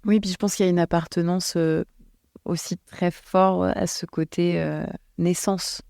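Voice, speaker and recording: A woman speaks in a relaxed podcast chat, her voice grainy with fry and warmly dark in tone. She weighs her words, stumbling and restarting as she thinks aloud. The sound is dry and close to the mic. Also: on the low side, unhurried, no room sound. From France. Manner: thoughtful, hesitant with many restarts. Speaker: guest. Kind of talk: casual podcast conversation.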